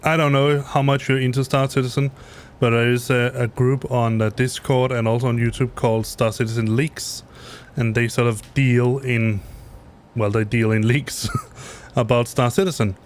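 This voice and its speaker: A man's voice: mid-range voice, flat delivery, normal speed, a little hiss or hum. Hesitant Danish man chatting while flying. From Denmark. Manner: rambling, hesitant, self-correcting with many fillers. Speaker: streamer flying a flight simulator. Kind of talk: casual live-stream chat. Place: home basement room.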